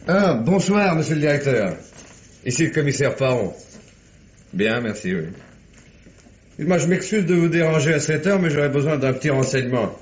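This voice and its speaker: Actor as shrewd police commissioner, dull vintage recording. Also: male voice, fairly high pitch, lively intonation, normal speed, long pauses, close to an everyday voice. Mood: businesslike. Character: shrewd, genial, authoritative male police commissioner. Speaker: actor in a radio mystery. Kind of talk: 1950s French radio drama acting. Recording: dull, band-limited vintage radio recording.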